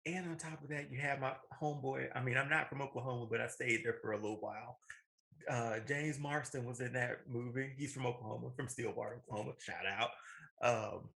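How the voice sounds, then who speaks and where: Bright-voiced man chatting about films. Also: medium pitch, steady tone, brisk, gravelly. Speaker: podcast co-host. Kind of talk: casual opinionated chat about films.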